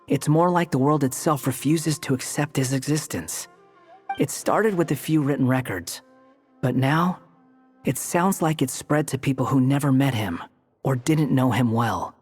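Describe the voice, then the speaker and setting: An aloof young wanderer from an anime game, voiced by a man. He speaks at a middling pitch with dry, curt condescension, over background music. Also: unhurried, darkish tone, close to an everyday voice. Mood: serious. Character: aloof, sardonic young male wanderer, secretly caring.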